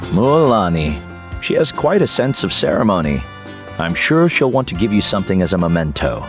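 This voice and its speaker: A wise older game mentor with a very low voice. He is composed and cryptic, with expressive swings in pitch, over clear background music. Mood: wryly knowing. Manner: measured, cryptic, instructive. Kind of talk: video game voice acting.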